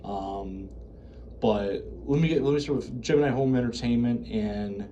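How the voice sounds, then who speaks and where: A man's voice: mid-range voice, a little slow, mellow, highs cut off, a little hiss or hum. An American man giving casual, unscripted commentary in a rambling, hesitant, self-correcting way. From United States. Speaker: video creator reviewing horror series.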